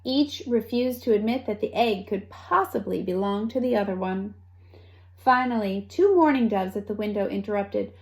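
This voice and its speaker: A woman reading a children's story aloud with expressive narration and dramatic, deliberate phrasing. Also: mid-range voice. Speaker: storybook reader.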